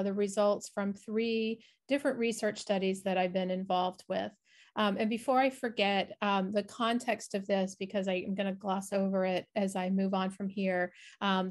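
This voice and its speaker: A woman's voice: medium pitch, darkish tone. A middle-aged woman, a researcher explaining her work in an online presentation over a video call. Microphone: video call, webcam framing.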